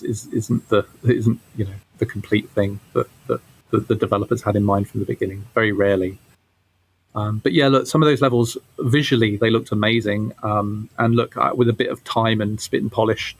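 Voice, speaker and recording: A man giving casual interview answers as a game developer, halting and often repeating his words. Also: on the low side, breathy edge.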